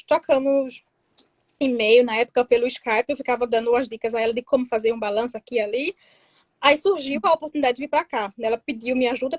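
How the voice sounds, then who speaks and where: A woman from Northeast Brazil, a guest telling her story casually and lively in an interview. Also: high voice, no echo. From Northeast Brazil, Pernambuco interior. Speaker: guest, tax assistant in Germany.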